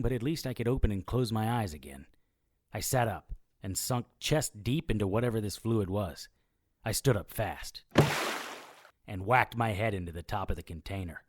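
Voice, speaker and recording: A wry immortal detective narrating his own supernatural audio drama. His voice sits low and swings expressively, recorded close and dry, with a dramatic, self-mocking edge. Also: a little mellow, no echo. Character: wry immortal male occult investigator, noir narrator. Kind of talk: scripted first-person audio drama narration.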